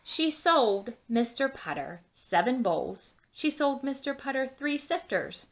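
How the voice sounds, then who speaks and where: A woman reading a children's storybook aloud with expressive, drawn-out emphasis and chatty asides. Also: sits high. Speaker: children's book read-aloud narrator.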